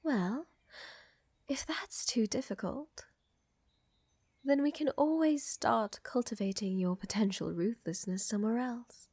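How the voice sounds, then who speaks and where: A woman playing a tender, seductive vampire countess in an intimate ASMR roleplay, speaking softly and often in whispers, with refined affection. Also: on the high side, no echo. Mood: flirtatious, teasing. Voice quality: soft, breathy, often whispering. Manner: slow, refined, affectionate, gently dominant. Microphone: close, dry microphone.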